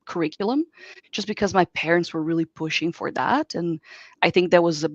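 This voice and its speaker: A woman from Brazil, a native Portuguese speaker, speaking as a software engineer guest in an online interview and giving earnest, expansive advice. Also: on the low side, a little fast, no room sound. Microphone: video call. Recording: dull dry video call audio.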